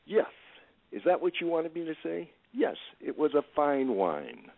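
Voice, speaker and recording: A man's voice: on the high side, big pitch swings, measured pace, gravelly, dry recording, heavily acted. An actor playing a middle-aged man with guilt to hide, cornered, fearful and defensive in an audio drama. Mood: alarmed. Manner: agitated, incredulous, pleading. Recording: phone-like lo-fi line.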